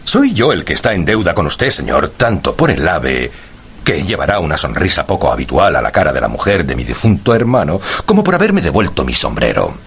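A courteous, down-at-heel stout old gentleman for radio drama; low, husky, very expressive. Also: brisk, exaggerated voice. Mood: grateful, chatty. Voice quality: gravelly, husky. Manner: courteous, wordy, formal. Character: genial, impoverished older gentleman, stout, courteous and talkative.

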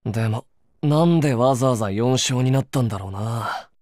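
An actor playing a courteous, inquisitive newspaper reporter in a Japanese video game, a man with a measured way of talking. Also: medium pitch, a little mellow, dry recording, clean studio sound, lightly acted. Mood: casual, wry. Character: courteous, probing young newspaper reporter interviewing royalty.

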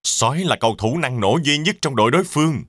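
A man's voice: medium pitch, lively intonation, fast, a bit bright, dry recording, clean studio sound. A man voicing an encouraging zebra sports coach in a cartoon, instructive and emphatic. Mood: urging.

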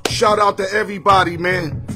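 A middle-aged man with a hoarse, strained voice, hosting a casual sports picks livestream from his home, greeting viewers by name in easygoing slang. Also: high-pitched, steady tone, fairly quick, sharp tone, faint music behind. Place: home room full of sports memorabilia with a TV. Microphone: phone or webcam at arm's length.

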